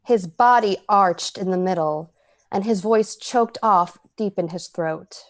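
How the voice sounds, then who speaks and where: A woman playing a cold, predatory poisoner who narrates an old-time radio drama in a measured, dramatic way. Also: medium pitch, lightly acted. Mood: intent, detached. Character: cold, predatory, calculating poisoner telling the tale.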